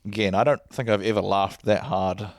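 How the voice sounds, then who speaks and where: Laid-back man with a deep bassy voice. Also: normal speed, dry recording, studio quality. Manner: rambling, laid-back, laughs often. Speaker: podcast host. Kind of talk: casual chat. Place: lying back while recording.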